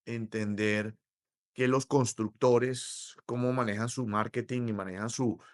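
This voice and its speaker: A middle-aged Colombian man with a Colombian accent, explaining mortgages as an interview guest in a measured way, with many hesitations. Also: medium pitch, fairly even intonation, no echo, studio quality. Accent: Colombian Spanish. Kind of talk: interview conversation.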